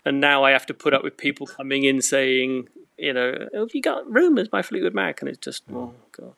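A man's voice: medium pitch, lively intonation, fairly quick, gravelly, a bit bright, dry recording. A British man in his fifties chatting casually as a podcast guest, telling anecdotes with wry, self-deprecating humour. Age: 50s. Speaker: podcast guest, record shop owner.

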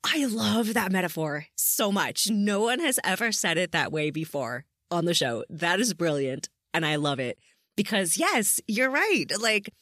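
A woman's voice: fairly low pitch, very animated, a little slow, a bit bright, no echo, clean studio sound. A woman hosting a podcast, chatting casually and enthusiastically with a guest as she interviews. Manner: effusive, enthusiastic.

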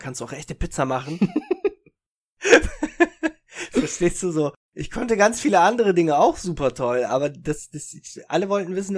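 A man's voice: medium pitch, a little mellow. A young man from Germany, co-hosting a casual podcast chat, rambling with restarts and fillers and laughing often. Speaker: podcast co-host.

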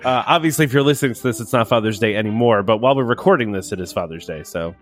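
A man's voice: on the low side, racing, faint music behind. A man in his thirties with a nasal voice and a cold, hosting a casual podcast chat and rambling with lots of fillers and restarts. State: has a cold. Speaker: podcast host.

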